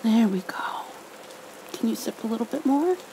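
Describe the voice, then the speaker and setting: A woman speaking in a hushed, tender, nurturing way, as in an ASMR bedtime roleplay and reading. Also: a little high, expressive, normal speed. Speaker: ASMR roleplayer, storybook reader. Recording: faint rain-like background noise.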